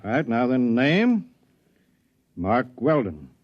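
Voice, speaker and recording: Fussy old-timey bank man on radio drama, super polite, keeps reassuring and repeating, medium pitch, dry sound. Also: sing-song, slow pace, no room sound, dull audio. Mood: eagerly reassuring. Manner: chattering, repetitive, obsequiously polite. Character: fussy, over-reassuring male 1930s bank officer. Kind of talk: 1950s radio drama acting.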